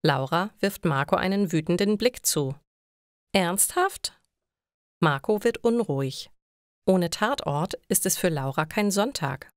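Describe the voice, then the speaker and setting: A woman's voice: lowish voice, lively intonation, moderate pace, no room sound, clean studio sound. A woman narrating scripted short stories for language learners.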